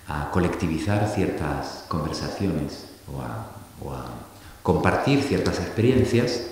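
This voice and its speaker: A middle-aged man from Argentina giving a reflective public talk, thoughtful and halting, often restarting his sentences. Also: low-pitched, a little mellow. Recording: echoey hall recording from a distance with faint hiss.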